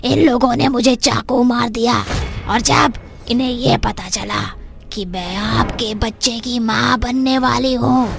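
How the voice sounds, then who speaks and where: Lady doing a wronged mother witch ghost for a horror story, slow n aggrieved, bit of creak, kinda high. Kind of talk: dramatised Hindi horror story acting.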